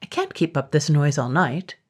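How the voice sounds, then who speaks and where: A woman voicing a tired, fretful male dragon who is polite and put-upon, with a husky voice. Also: low-pitched, moderate pace. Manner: plaintive, fretful.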